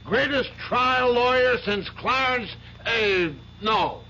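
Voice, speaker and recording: A man playing a hearty older law-firm boss hosting a party, booming and jovial, in a radio drama. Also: slow pace, bright, forceful tone, lo-fi sound, a little hiss or hum, full character voice. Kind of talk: 1970s radio drama acting. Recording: party crowd chatter behind.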